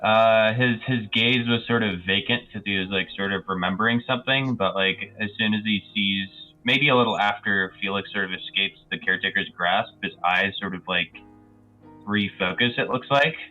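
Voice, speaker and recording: A man's voice: on the low side, normal speed, phone-quality sound. A man playing a tabletop game, casually describing his character's actions in a hesitant, pieced-together way. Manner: hesitant, piecing sentences together. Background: faint music.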